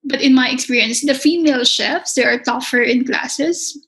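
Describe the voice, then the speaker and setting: A woman's voice: high voice, normal speed, a bit bright, poor audio. A young woman from the Philippines with a Filipino accent, a culinary instructor talking casually in an interview, often repeating words as she searches for them. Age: young adult.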